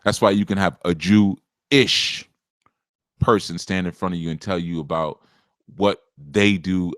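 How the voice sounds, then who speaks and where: A low-voiced man hosting a live spiritual teaching show. He talks in a loose, conversational way, full of asides. The sound is close and dry, with no room around it. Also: fairly even intonation, measured pace, fairly bright tone, no room sound. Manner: conversational, rambling, with many asides and chuckles.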